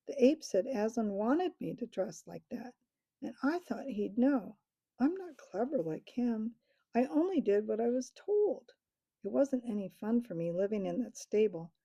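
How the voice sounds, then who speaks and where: A woman playing a humble storybook donkey, simple and sorry, with a husky, very mellow voice. His pleading sounds close and dry. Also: a little high, dry recording, lo-fi sound. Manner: apologetic and humble. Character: meek, simple male donkey.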